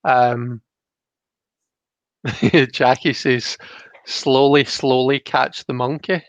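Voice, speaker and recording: A man's voice: medium pitch, fairly even intonation, no echo. Man thinking aloud, slightly muffled audio. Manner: halting, thinking aloud, explanatory. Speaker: host of a live tarot reading. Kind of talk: casual live card reading discussion.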